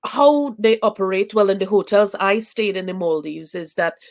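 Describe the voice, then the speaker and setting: Young woman from Trinidad, guest on a video call, chatty and meandering, mid-pitched voice. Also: normal speed, creaky. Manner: chatty, many fillers and restarts. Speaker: interview guest, traveling parent. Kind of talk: casual video chat.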